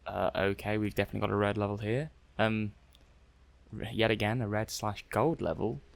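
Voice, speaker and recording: Guy playing a robot lab tech for asmr, lowish croaky male voice, soft spoken, kinda flustered, chatty and musing to himself. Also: little pitch movement, a little fast. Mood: chatty, slightly flustered. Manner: hesitant, musing aloud, conversational. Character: affable, slightly flustered robot-testing technician, adult man. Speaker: ASMR roleplayer.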